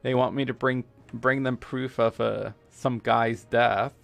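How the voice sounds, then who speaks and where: US gamer, Spanish first language, gravelly, mid-pitched; rambling let's-play chat over quiet game music. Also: male voice, steady tone. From United States. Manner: halting, full of fillers and restarts. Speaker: gamer streaming a playthrough. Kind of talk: casual let's-play commentary.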